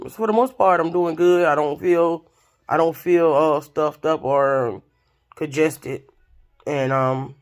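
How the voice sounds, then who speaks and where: A woman, casually telling a story to her viewers. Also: sits low. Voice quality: raspy voice. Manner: chatty, expressive, addresses viewers directly. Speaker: vlogger.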